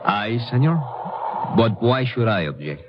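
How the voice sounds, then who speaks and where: An actor playing a proud, jealous, wealthy mountaineer with a formal, haughty manner in an old radio drama. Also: male voice, lowish voice, animated, unhurried. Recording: muffled vintage radio with faint noise.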